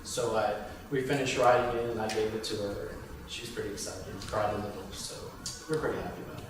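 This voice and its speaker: A man's voice: fairly low pitch, flat delivery, fast, recorded from a distance. Man in a very echoey, noisy room. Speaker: screenwriter. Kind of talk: panel question-and-answer, casual. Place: indoor room at a table read event.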